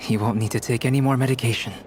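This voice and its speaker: A man playing a calm, modest, scholarly alchemist in a video game, with a measured, polite, instructive manner. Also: medium pitch, slight background noise, lightly acted.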